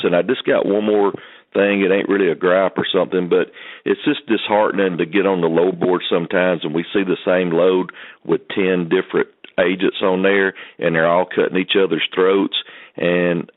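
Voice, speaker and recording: A man with a low voice calls in over a thin, muffled phone connection. He is a truck driver, speaking off the cuff in an earnest, polite way and tending to ramble. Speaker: truck driver calling in to a company conference call. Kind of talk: unscripted questions on a conference call. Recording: muffled telephone conference line.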